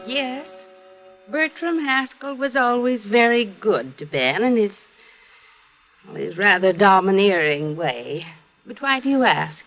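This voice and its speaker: Creaky, quiet widow. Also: female voice, medium pitch, very animated, measured pace. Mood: guarded, wistful. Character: quiet, gentle, guarded widow. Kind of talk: 1950s radio drama acting. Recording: muffled vintage radio recording.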